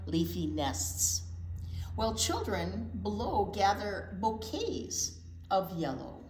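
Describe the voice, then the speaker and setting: An American woman reading a picture book aloud to young children, drawing out words expressively and adding chatty asides. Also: medium pitch. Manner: expressive, drawn-out storytelling. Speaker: children's storytime teacher. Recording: echoey room with clear background noise.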